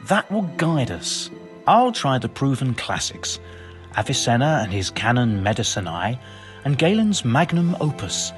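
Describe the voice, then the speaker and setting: An actor playing a learned, pompous but well-meaning and absent-minded monk physician in a video game, speaking in wordy, formal phrases. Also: male voice, mid-range voice, sing-song, measured pace, faint music behind. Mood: scholarly, brisk. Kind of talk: video game cutscene acting.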